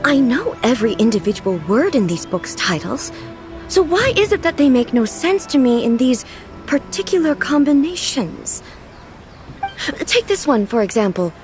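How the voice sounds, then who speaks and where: A woman's voice: sits high, sing-song, soft background music. A woman playing a composed, regal ruler in a video game, speaking formally with earnest curiosity. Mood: puzzled, earnest. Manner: formal, measured, earnest, literal-minded.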